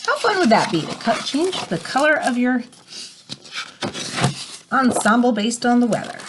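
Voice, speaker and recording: A woman's voice: a little high, sing-song, moderate pace, background noise. A woman reading a picture book aloud like a teacher, expressively and with dramatic emphasis, adding short explanatory asides.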